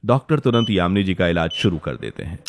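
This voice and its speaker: A man's voice: low-pitched, very animated, a little fast, a little hiss or hum. A man delivering scripted dramatic narration for a story.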